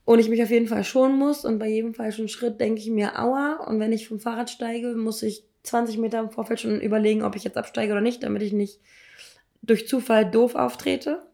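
A woman in her thirties speaks in a fairly high voice that is hoarse from being sick. She tells personal stories casually, with colloquial, emphatic phrasing. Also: fairly even intonation, fairly quick, dark tone. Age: 30s. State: hoarse, ill. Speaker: podcast co-host.

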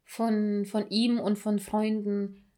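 A woman's voice: mid-range voice, level tone, slow pace, dark tone. Candid woman telling her story casually. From abroad, came to Germany at ten. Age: 30s. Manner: candid, storytelling, self-mocking with slips and restarts. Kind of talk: casual personal chat.

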